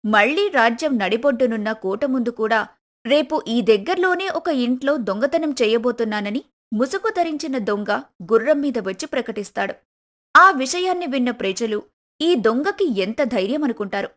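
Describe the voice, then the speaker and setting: A woman's voice: a little high, expressive, moderate pace. A woman reading scripted story narration as a storyteller.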